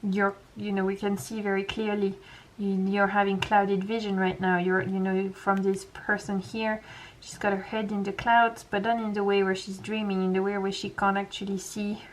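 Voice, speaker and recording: A young woman with a French accent, a French native speaker giving a gentle, reassuring pick-a-pile tarot reading full of casual fillers. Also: medium pitch, flat delivery, moderate pace, rough recording. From France. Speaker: tarot reader.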